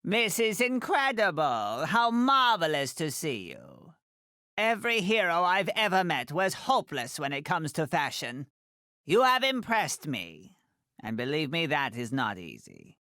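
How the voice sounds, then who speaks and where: A man's voice: on the high side, sing-song, a little slow, pushed and bright, no echo, studio quality, heavily acted. A man playing a tiny, imperious middle-aged female fashion designer in a video game, haughty and dramatic, with clipped, fondly condescending delivery. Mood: gracious, doting, then haughty, proud. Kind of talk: video game character voice lines.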